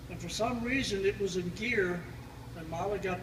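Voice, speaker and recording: Older man, high-pitched, in a noisy roomy recording. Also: moderate pace. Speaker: family member telling stories at a reunion. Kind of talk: informal family storytelling. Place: family gathering, recorded from a distance. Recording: roomy, distant mic, loud background noise.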